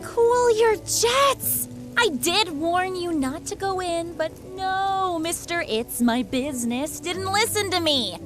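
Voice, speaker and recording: A woman's voice: extremely high pitch, animated, takes it slowly, faint music behind, full character voice. A woman playing a sardonic, playful rebel leader in a video game, with a breezy, teasing, theatrical manner. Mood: mocking.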